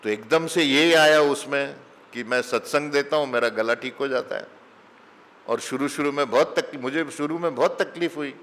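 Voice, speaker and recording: Spiritual teacher, man, middle-aged, unhurried storytelling sermon, mid-pitched, long hall echo. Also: a bit bright. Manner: slow, mixes English phrases into Hindi. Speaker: spiritual teacher giving satsang. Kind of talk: conversational sermon with anecdotes.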